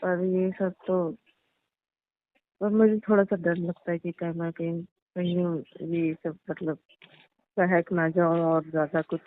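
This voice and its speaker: A woman playing a shy young daughter-in-law who speaks in a hesitant, halting, conversational way in a staged phone-call drama. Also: on the low side, level tone. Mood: worried, hesitant. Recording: muffled narrow-band phone-line sound.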